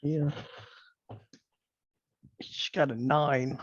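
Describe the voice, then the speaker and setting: A man's voice: a little high, little pitch movement, very slow, breathy edge. A man playing a tabletop game, talking casually, heard on dull, muffled audio.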